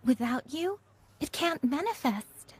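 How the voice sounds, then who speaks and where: An actress playing a calm, earnest young woman in a game, explaining things in a measured, articulate way. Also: high voice. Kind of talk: game voice acting, expository dialogue.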